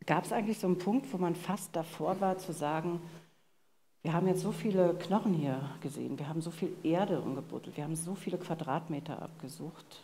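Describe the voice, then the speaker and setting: A middle-aged woman co-hosts a live crime talk in a low-pitched voice. She lays out her points with emphasis and repetition. Faint ambient noise sits behind her. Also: a little fast, mellow. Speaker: co-host. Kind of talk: live true-crime interview. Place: vaulted venue, live audience on stage.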